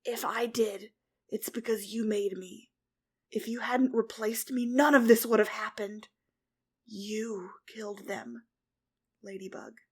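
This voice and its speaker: A woman voicing an anguished young male superhero who fell into destruction, often speaking in a hushed whisper. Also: fairly high pitch, slow, long pauses, husky. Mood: cold whisper.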